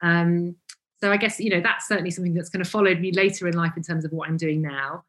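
A woman's voice: on the low side, steady tone, fast, fairly bright tone. A woman, a guest in an interview, speaking in a self-deprecating, hesitant way with many ums and restarts. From England (Cambridgeshire). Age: 40s. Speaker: guest, founder of a vegan brand. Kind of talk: reflective interview conversation.

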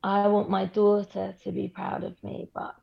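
Croaky, husky woman guest. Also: mid-range voice, completely flat delivery, normal speed, highs cut off. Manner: effusive, swears casually. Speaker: podcast guest. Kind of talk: candid casual conversation.

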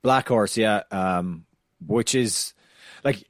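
Man, non-american english accent, low voice, casual podcast chat, dry close mic. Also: takes it slowly, no room sound. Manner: chatty storyteller, frequent fillers and restarts. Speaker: podcast co-host.

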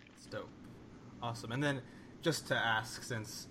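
A man hosting a casual interview, upbeat and quick to laugh. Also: mid-range voice, fairly even intonation, background noise. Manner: enthusiastic, laughs often. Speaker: interviewer host.

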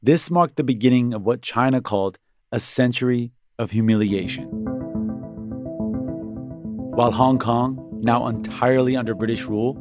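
A man's voice: mid-range voice, normal speed, pauses often. Man narrating history with music. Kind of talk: scripted explainer narration.

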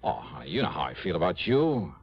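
An American actor playing an easygoing, flustered freelance investigator in an old radio drama. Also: male voice, low voice, big pitch swings, fast, breathy edge, heavily acted. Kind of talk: 1950s-60s American radio drama acting. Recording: dull old radio broadcast.

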